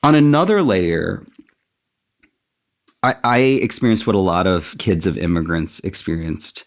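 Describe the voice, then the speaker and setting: Interview guest: man, middle-aged, low voice with gravelly fry, reflective and candid. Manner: thoughtful, candid, self-deprecating.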